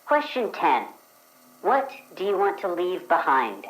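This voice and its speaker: A woman playing the reader of a dream questionnaire, asking each question in a crisp, procedural way. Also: on the low side, big pitch swings, measured pace, poor audio. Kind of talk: actual play, reading scripted questions.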